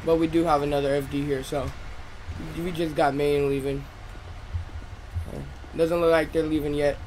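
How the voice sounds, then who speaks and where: A man's voice: on the high side, level tone, normal speed, a little mellow, slight background noise, low-quality recording. A man doing casual in-character roleplay as a fire officer in a video game, addressing people informally.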